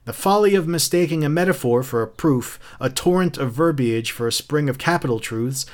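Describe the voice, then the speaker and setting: A man's voice: fairly high pitch, animated, normal speed, pitched above the performer's own voice, natural voice. A man voicing a smug, taunting fire captain in a story, mocking and theatrical as he spouts literary quotations. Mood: gloating, taunting. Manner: theatrical, mocking, quote-laden speech.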